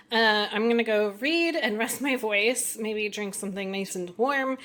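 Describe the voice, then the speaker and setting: A woman in her thirties chatting casually in a home vlog, her voice hoarse from cheering, rambling easily with fillers. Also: a little high, bright, forceful tone. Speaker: vlogger, homeschooling mom. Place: at home, kitchen. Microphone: handheld camera.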